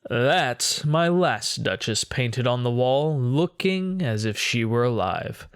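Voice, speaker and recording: A man performing a dramatic poetry monologue as a haughty, controlling, sinister Renaissance duke, with a pompous, theatrical, deliberate delivery. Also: medium pitch, lively intonation, clear, resonant tone, dry recording, studio quality. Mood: proud, courtly.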